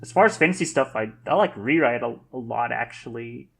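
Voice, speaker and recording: A man with a medium-pitched voice, a guest in a casual podcast discussion. He feels his way through each thought in halting, rambling phrases packed with filler words. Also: lively intonation, vocal fry, a bit bright, breathy edge. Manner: rambling, halting, heavy use of "like".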